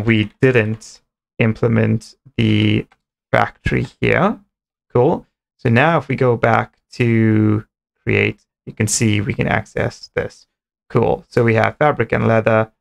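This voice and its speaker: A man's voice: mid-range voice, creaky. A man in his thirties giving an informal step-by-step coding tutorial, thinking aloud, on a close headset mic. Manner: step-by-step explaining, often starting with okay and cool. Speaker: programming tutorial presenter.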